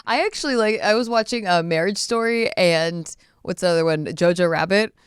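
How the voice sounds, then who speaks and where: A woman hosts a casual podcast, her voice smooth underneath but slightly raspy from a recent bronchitis. She talks chattily and laughs easily. The sound is dry and close, like a studio mic. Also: on the low side, brisk, dry recording. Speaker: podcast host.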